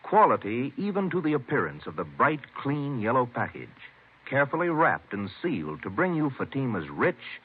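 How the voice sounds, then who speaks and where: Animated radio pitchman with husky tone. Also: moderate pace. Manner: emphatic, salesman-like. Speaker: commercial announcer. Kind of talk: 1950s radio cigarette advertisement read. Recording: narrow old radio broadcast recording.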